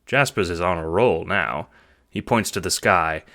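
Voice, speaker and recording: A man's voice: sits low, unhurried, sharp tone. A man narrating an audio drama as scripted second-person storytelling.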